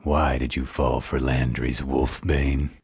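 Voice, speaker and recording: A man playing a sardonic, flirtatious vampire interrogator in an audio drama, with a slow, sarcastic delivery. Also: level tone, a little mellow, no echo, clean studio sound, exaggerated voice. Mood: sardonic, probing. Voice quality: very deep, gravelly, husky. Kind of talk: dramatic audio roleplay acting.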